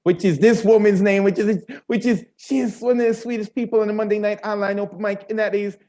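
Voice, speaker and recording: A man's voice: sits high, steady tone, brisk, dark tone. A man with a Bronx accent hosting an online poetry open mic over a video call, hyped and slangy as he brings up each poet. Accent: New York, Bronx.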